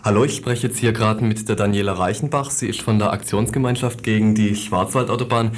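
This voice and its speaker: A man's voice: fairly low pitch, steady tone, a little fast, over music. A man working as a radio interviewer, asking questions in an informal, familiar way.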